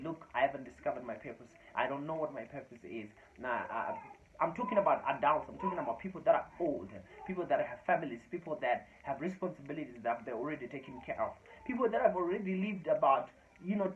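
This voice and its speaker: A man in his twenties whose first language is Shona, giving an earnest motivational talk to his channel's viewers and repeating phrases for emphasis. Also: fairly high pitch. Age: 20s. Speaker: YouTube channel host. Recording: dull lo-fi sound with faint noise.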